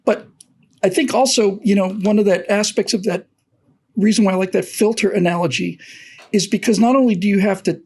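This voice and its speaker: A middle-aged man on a casual video call, husky-voiced, halting and earnest, often repeating words. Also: sits high, darkish tone. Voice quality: husky, thin voice. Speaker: co-host. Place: home office.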